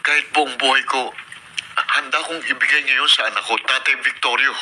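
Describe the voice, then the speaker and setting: A man's voice: medium pitch, steady tone, moderate pace, music in the background, natural voice. An actor playing an anguished, devoted young father in a Filipino radio drama, speaking earnestly and dramatically. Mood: pleading, determined. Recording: noisy radio drama recording.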